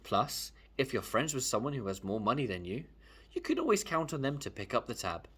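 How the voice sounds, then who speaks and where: Animated male storyteller with a husky edge. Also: mid-range voice, fairly quick. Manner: animated, emphatic. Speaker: storyteller reading a children's book aloud. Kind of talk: expressive read-aloud narration, first person.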